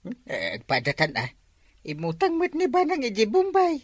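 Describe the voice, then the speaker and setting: A woman voicing a talkative, joking village man with a husky voice in a comedy drama, teasing him and jumping into squeaky outbursts. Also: wildly swooping pitch, moderate pace, dry recording, clean studio sound, full character voice.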